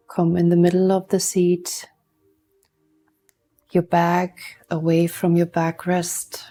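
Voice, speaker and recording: A woman guides a meditation in a mellow, gently creaky voice that stays very even. She speaks softly and soothingly, leaving long pauses between short instructions, with music underneath. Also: on the low side.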